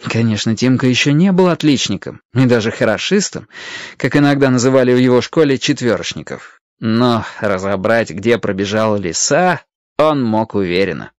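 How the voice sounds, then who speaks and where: A man narrating a dramatized story as an expressive storyteller. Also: medium pitch, normal speed, dry recording.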